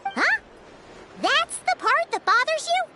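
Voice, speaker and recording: A woman voicing a tiny, excitable, cheeky fairy companion in a video game, with a squeaky childlike voice. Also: slow, heavily acted. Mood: indignant. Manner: exclamatory, speaks of self in third person. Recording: music behind the voice.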